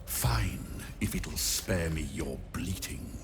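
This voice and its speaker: An actor playing a pompous, scheming necromancer in a video game, condescending and theatrical in his deliberate speech. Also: deep voice, expressive, gravelly, music in the background, full character voice. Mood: haughty, commanding. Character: pompous, scheming male necromancer, haughty and contemptuous.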